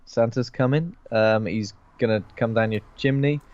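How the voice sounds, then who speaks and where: A man's voice: mid-range voice, normal speed, darkish tone, dull audio. A man with a cold co-hosting a horror film podcast, joking and laughing as he chats, often repeating words. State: sniffly with a cold. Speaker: podcast co-host. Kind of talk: casual film podcast chat.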